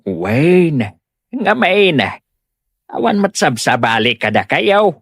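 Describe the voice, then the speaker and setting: A man's voice: on the high side, slow, dry recording, studio quality, heavily acted. A man playing an earnest, doting young husband who keeps the peace in a comedy audio drama. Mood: pleading. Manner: expressive, earnest.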